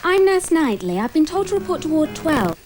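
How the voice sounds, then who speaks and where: An actress playing a naive, eager young nurse in a melodramatic comedy. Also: female voice, high voice, big pitch swings, a little fast, over music. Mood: eager, polite.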